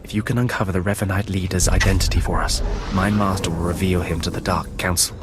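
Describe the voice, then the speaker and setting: An actor playing a scheming, deferential dark apprentice in a video game, speaking formally and persuasively in measured phrases. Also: male voice, low voice, fairly even intonation, over music, close to an everyday voice. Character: scheming Sith apprentice, deferential servant of shadowy master. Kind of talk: video game cutscene acting.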